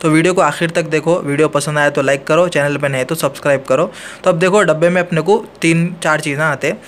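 A man's voice: a little high, steady tone, fast talker, fairly bright tone, a little hiss or hum. A man, an AC technician walking through a hands-on tutorial in a plain, practical way, filmed on a handheld phone indoors.

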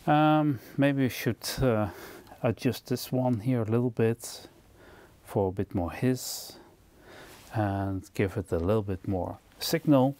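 A man giving an unscripted product demonstration as presenter, explaining in a halting, hesitant way. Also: medium pitch, dark tone, no room sound. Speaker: gear reviewer and presenter.

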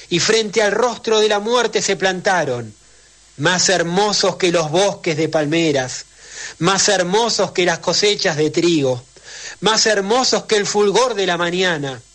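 A man reciting poetry in a solemn, declamatory way with measured phrasing. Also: high-pitched, fairly bright tone.

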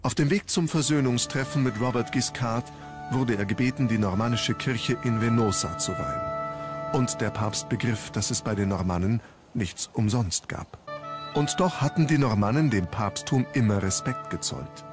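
A man's voice: mid-range voice, moderate pace. A man narrating a scripted historical documentary in a storytelling style. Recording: music bed under the voice. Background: background music.

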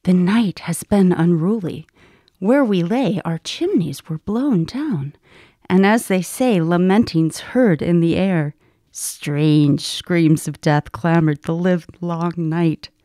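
A woman's voice: fairly low pitch, slow pace, mellow, no echo, clean studio sound. A woman playing a sombre, uneasy Scottish noble in a Shakespearean radio drama. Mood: ominous, uneasy.

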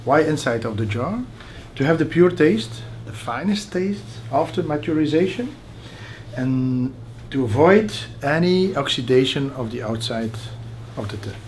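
Halting man leading a tasting. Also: medium pitch, expressive, slight background noise. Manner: halting, many uh fillers and restarts. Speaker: caviar producer guide. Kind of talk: explaining on a guided tasting. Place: small tasting room indoors.

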